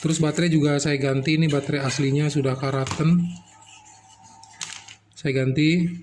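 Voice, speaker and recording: A man's voice: fairly high pitch, steady tone, moderate pace, a little mellow, soft background music. A man giving a casual hands-on repair commentary, thinking aloud step by step as he works. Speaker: electronics repairer and video host.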